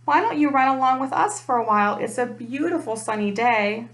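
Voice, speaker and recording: A woman's voice: fairly high pitch, animated, a little fast, natural voice. A woman voicing friendly, cheerful, inviting ponies from a children's storybook. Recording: echoey room.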